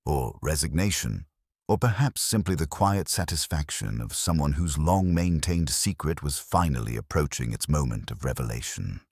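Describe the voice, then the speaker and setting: Male audiobook narrator, bass register, slightly husky grain, measured literary delivery, scripted mystery story. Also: a little mellow, dry recording, clean studio sound.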